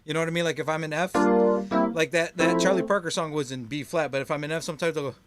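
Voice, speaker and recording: A man hosting a relaxed chat about keyboard playing, chatty and full of "you know what I mean". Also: a little high, fast talker, over loud music. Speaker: host, keyboard player. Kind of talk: casual chat about music.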